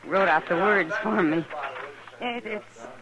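A woman's voice: medium pitch, takes it slowly, crowd noise, lightly acted, low-quality recording. An actress playing an easygoing, wistful frontier saloon woman in a 1950s radio western, speaking casually. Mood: friendly. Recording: vintage radio recording, prominent music.

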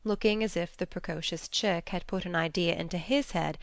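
A woman's voice: lowish voice, moderate pace, creaky, no room sound. A woman reading scripted storybook narration as an audiobook narrator.

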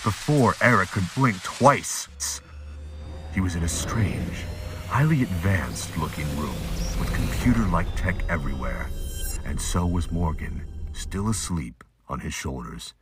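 A man's voice: low-pitched, sing-song, measured pace, background noise. A man giving scripted, audiobook-style narration for an audio drama.

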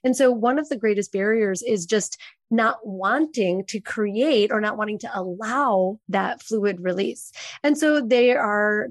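A woman's voice: mid-range voice, no room sound. A woman coach answering interview questions in a fluent, instructive way. She sometimes stresses points firmly, and the recording is dry and close. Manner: fluent, instructive, emphatic explaining. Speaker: sex and intimacy coach, guest.

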